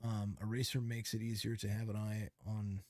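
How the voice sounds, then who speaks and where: A man's voice: fairly low pitch, flat delivery, normal speed, darkish tone, no room sound. A man hosting a casual podcast who talks about calling races. He rambles emphatically, with many restarts and fillers, into a close microphone. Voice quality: calls his voice fit for radio. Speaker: podcast host and race commentator.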